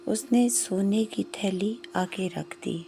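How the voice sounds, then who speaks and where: A woman narrating a scripted moral story in short, measured phrases with pauses. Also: medium pitch, vocal fry, mellow, a little hiss or hum.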